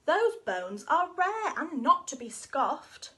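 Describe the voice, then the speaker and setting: A woman's voice: sits high, very animated, slow, sharp tone, exaggerated voice. A woman voicing a firm female professor from a children's storybook in an emphatic, no-nonsense manner.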